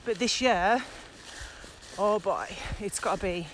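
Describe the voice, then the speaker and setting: A woman's voice: medium pitch, slow. Enthusiastic woman chatting outdoors. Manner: enthusiastic, emphatic. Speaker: podcast co-host, virtual trainer. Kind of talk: casual chat. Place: outdoors, walking in the countryside. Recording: outdoor walking recording with ambient noise.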